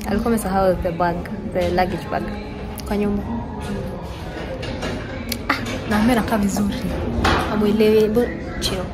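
A woman's voice: medium pitch, steady tone, normal speed, pauses often, loud music behind the voice. A young woman with a Kenyan accent chatting casually and informally to a handheld camera as she vlogs, slipping between two languages in slangy speech. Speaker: vlogger. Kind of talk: casual vlog chat, mixing English and Swahili. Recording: noisy handheld vlog, car and room ambience.

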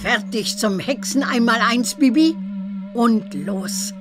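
Slow-speaking elder witch examiner. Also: fairly high pitch, loud music behind the voice. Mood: ceremonious welcome.

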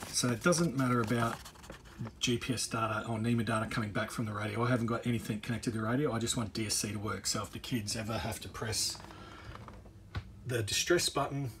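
A middle-aged man giving a casual, off-the-cuff DIY walkthrough, halting and rephrasing as he explains, recorded on a handheld phone. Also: fairly low pitch, fairly even intonation, fairly bright tone. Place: on a boat. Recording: handheld phone recording, clear ambient noise.

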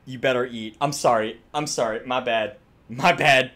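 A young man doing comedic reaction commentary on game voice lines, excitable and crude, exaggerating and swearing freely. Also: medium pitch, normal speed. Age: young adult. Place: small room indoors, wall covered in drawings. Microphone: desk microphone close by.

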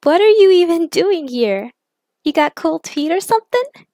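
A woman's voice: very high-pitched, big pitch swings, moderate pace, mellow, no echo, clean studio sound, voice pushed up, full character voice. A woman playing a playful young superheroine with a teasing, exclamatory way of talking. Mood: amused, teasing.

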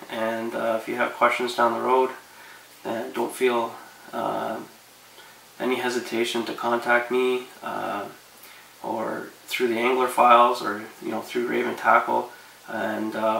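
A man in his thirties giving relaxed, rambling fishing advice to camera from his living room couch, with lots of fillers. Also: medium pitch, creaky, roomy sound, distant mic, slight background noise, poor audio. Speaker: fishing vlogger.

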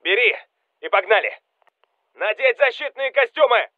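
Urgent Russian soldier, high-pitched radio barks. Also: male voice, lively intonation, moderate pace, no room sound, shouting, narrow, tinny audio. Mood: alert, firm. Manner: urgent, commanding. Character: Russian special forces soldier calling out battle reports. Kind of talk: military game voice lines, clipped barks.

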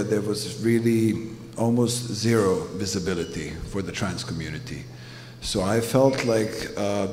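A thoughtful man from Serbia talks through his answers at a panel, pausing and restarting often. His voice sits low. It rings out in a long hall reverb over a faint background hum. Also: a little mellow. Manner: earnest, thoughtful, many fillers. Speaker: photographer guest on a panel. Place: museum exhibition hall.

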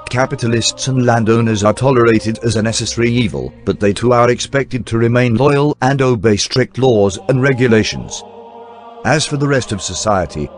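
A man reading scripted documentary narration in a formal, measured newsreel style. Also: mid-range voice. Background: background music.

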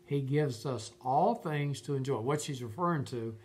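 Older guy doing a relaxed at-home bible lesson, earnest, repeats himself to drive points home, medium pitch. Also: normal speed, slight background noise. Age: older, about 70s. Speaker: Bible teacher. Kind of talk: informal devotional teaching. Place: furnished room at home.

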